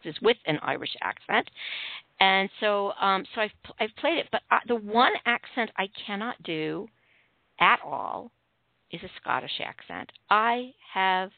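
A woman's voice: mid-range voice, sing-song, unhurried, vocal fry, dry recording. Woman host, Midwestern accent, chatty with lots of ums, tinny phone-line sound, dry. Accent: Midwestern American. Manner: chatty, with many ums and restarts. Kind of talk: casual chat.

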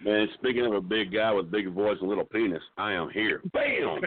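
Brash gravelly man joking on a phone line. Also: lowish voice, expressive, moderate pace. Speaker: phoned-in guest. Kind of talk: casual chat.

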